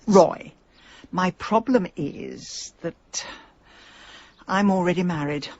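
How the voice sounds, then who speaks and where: A woman playing a headstrong, middle-aged English businesswoman in a radio comedy-drama, sardonic, brisk and stubborn. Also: on the low side, darkish tone, highs cut off. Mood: dry, confiding. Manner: brisk, dry, exasperated.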